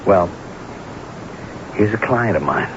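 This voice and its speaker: An actor playing an anxious, guilt-ridden unfaithful husband in a radio mystery drama, stammering and repeating words earnestly. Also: male voice, low voice, expressive, slow pace. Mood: anxious explaining. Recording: noisy, muffled old radio broadcast recording.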